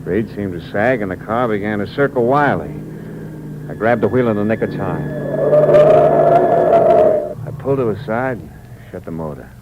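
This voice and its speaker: A man's voice: lowish voice, expressive, moderate pace, pauses often, highs cut off, lightly acted. An actor playing a hard-boiled drifter who tells his own story in terse, wry narration. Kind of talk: 1950s radio drama acting. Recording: noisy old radio recording.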